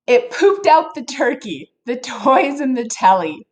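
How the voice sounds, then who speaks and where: Slow-paced woman reading a children's storybook aloud. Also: high-pitched, low-quality recording. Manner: expressive, playful, addresses listeners directly. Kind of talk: read-aloud storytelling with casual asides to young listeners.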